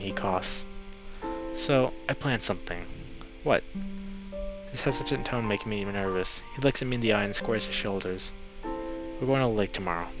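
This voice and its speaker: A man narrating a visual novel aloud for a let's play, occasionally stumbling and correcting himself. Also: on the low side. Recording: slightly dull mic, music behind. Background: clear game music.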